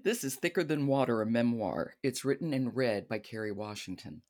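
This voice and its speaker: A woman's voice: extremely low pitch, expressive, moderate pace, darkish tone. Female podcast host, conversational interviewer discussing books with a colleague.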